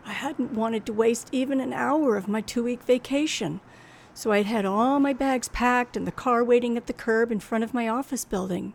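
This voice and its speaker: Radio thriller heroine: young, high-pitched, tense, dramatic, narrating her fear on a hissy vintage recording. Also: moderate pace, creaky. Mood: uneasy. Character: nervous red-haired young woman driving alone at night. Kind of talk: old-time radio thriller acting, first-person narration.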